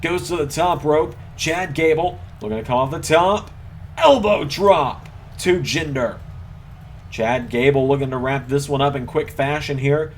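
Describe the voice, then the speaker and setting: A man's voice: fairly high pitch, measured pace. Male wrestling game commentator, some background noise. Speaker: host and commentator of a gaming channel. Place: voice-over recorded at a computer over gameplay.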